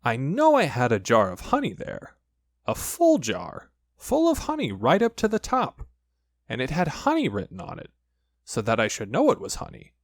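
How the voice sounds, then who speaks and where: Guy doing a gentle dim storybook teddy bear, puzzled and musing, voice wanders up and down, dry close sound. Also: medium pitch, wildly swooping pitch, normal speed, no echo. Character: slow-witted, honey-loving male toy bear, gentle and puzzled. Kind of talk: children's storybook character voice.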